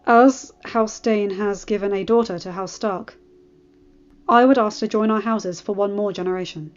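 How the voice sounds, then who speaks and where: A woman's voice: medium pitch, fairly even intonation, moderate pace, natural voice. A woman voicing a polite, earnest young nobleman making a formal request. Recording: dull audio with faint music.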